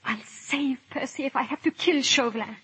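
A woman's voice: high-pitched, normal speed, pushed and bright, slightly rough, exaggerated voice. An actress playing an anguished, passionate English noblewoman in a 1930s radio drama, with earnest, theatrical delivery. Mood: urgent, determined. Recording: muffled vintage 1930s radio recording.

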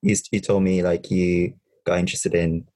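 Low, husky podcast host. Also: male voice, little pitch movement, normal speed, dark tone. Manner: hesitant, many fillers, laughs easily. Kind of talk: casual interview.

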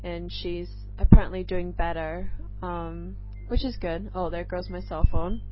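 A young woman from England hosts a casual podcast, her mixed accent leaning mostly American. She talks slowly and nervously, drifting between thoughts with plenty of ums. The recording sounds dull and lo-fi, with faint music underneath. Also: fairly low pitch, fairly even intonation. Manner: nervous and rambling, lots of ums. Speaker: podcast host. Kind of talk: casual solo chat.

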